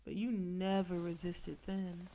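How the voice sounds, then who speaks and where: A woman's voice: fairly low pitch, level tone, dark tone, low-quality recording. A woman playing a possessive, demon-possessed girlfriend in an intimate audio roleplay, sweetly condescending and teasing with veiled menace. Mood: controlling, menacing. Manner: slow, coaxing, teasing, with pet names and veiled threats.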